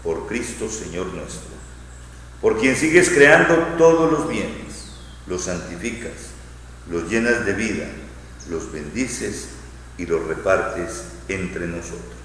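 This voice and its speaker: Echoing, low-voiced priest praying solemnly. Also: male voice, expressive, fairly bright tone. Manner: solemn, measured, phrase by phrase. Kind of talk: liturgical prayer recitation. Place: large church interior. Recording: echoing church hall, long reverb, faint noise.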